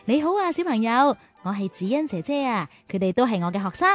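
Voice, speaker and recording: A woman playing a caring, gently chiding big-sister teacher who hosts a children's radio drama. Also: a little high, sing-song, brisk, faint music behind. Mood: coaxing, playful. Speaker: host and teacher.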